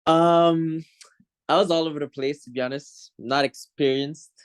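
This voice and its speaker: A young man from Morocco, a musician guest on an interview show, talking in a relaxed, rambling way and laughing easily. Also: a little high, measured pace, clean tone. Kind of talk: casual interview.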